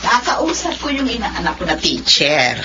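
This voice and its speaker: A woman's voice: fairly low pitch, wildly swooping pitch, normal speed, bright, forceful tone, highs cut off, full character voice. A woman acting a meddling, bossy older mother-in-law in a radio drama, assertive and insistent, with a Filipino accent. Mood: bossy, brisk. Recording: faint background noise.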